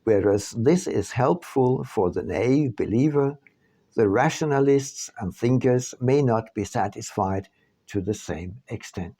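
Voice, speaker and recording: A man reading his own book aloud as a scripted narration, with measured, deliberate phrasing and dramatic pauses. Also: medium pitch, lively intonation, dark tone, slightly rough. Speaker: author reading own audiobook.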